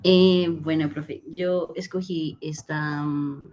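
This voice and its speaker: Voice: mid-range voice, steady tone, takes it slowly, darkish tone, slight background noise. A student answering a teacher's question about a research project, with frequent fillers and restarts. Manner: hesitant, many fillers.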